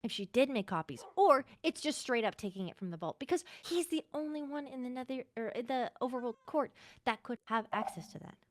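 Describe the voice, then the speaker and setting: A woman playing an earnest, suspicious courtier in an improvised Minecraft roleplay, insistent as she thinks out loud. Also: fairly high pitch, expressive, a little fast, few pauses, no echo, natural voice.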